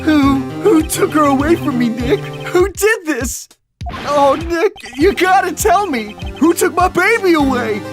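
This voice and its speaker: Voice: high voice, animated, unhurried, over music. A performer playing a melodramatic, distraught young male murder defendant in a video game fandub, wailing and overwrought. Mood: grieving, desperate.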